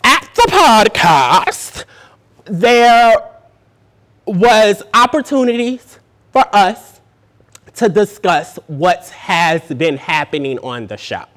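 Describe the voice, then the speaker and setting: A cast member, speaking deliberately in a confessional. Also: medium pitch, very animated, a bit bright. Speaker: reality show cast member. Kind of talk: confessional recounting.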